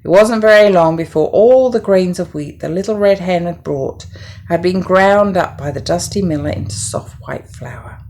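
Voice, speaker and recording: Woman, lowish pitch, creaky voice, children's story narration, expressive, deliberate delivery. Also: a little hiss or hum.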